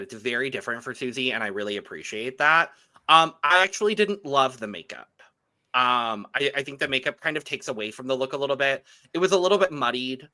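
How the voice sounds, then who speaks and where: A man's voice: medium pitch, pushed and bright. A man co-hosting a podcast, giving casual, opinionated reviews of fashion looks. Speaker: podcast co-host reviewing drag runway looks.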